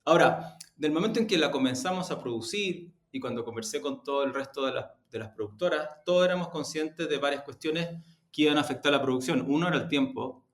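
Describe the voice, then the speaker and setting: A man giving measured, reflective answers as an interviewed executive. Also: a little high. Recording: roomy, echoing room.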